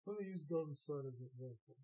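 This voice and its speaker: A man's voice: on the high side, fast, dark tone, poor audio. A man giving casual gaming commentary in a rambling, argumentative way, with some swearing. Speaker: commentator on a video game let's play.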